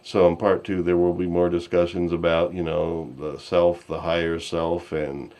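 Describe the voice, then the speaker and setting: A man's voice: sits low, little pitch movement, moderate pace, darkish tone. A man casually hosting an online discussion show in a rambling, easygoing way, speaking off the cuff. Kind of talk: casual unscripted hosting and chat.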